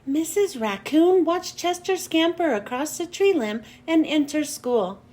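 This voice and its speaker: A woman reads a children's story aloud in a high voice. Her intonation rises and falls widely, and she takes her time over the phrases. The delivery is expressive, careful storytelling. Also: unhurried. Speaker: children's read-aloud host. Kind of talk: scripted storybook narration.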